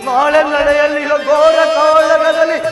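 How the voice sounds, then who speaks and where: Over prominent music in an echoey space, a vengeful, scheming male villain of mythological stage drama. The voice is extremely high and declaims theatrically, repeating phrases and sliding toward sung verse. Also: monotone, a little slow. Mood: vengeful taunting.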